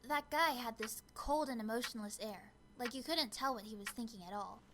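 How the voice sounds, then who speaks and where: A woman's voice: fairly high pitch, a little fast, lightly acted. An actress playing an observant young female witness in a game, speaking earnestly and a little hesitantly. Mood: earnest, uneasy. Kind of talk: game drama dialogue. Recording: clear ticking background noise.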